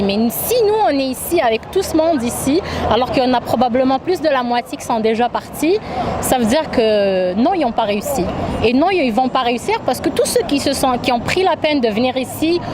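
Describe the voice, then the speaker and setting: A middle-aged woman speaking emphatically in an impassioned street interview, piling up lists and rhetorical questions. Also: a little high. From Algeria. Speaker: demonstrator interviewed at a march. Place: outdoors in a city street at a demonstration. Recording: noisy outdoor street crowd and traffic.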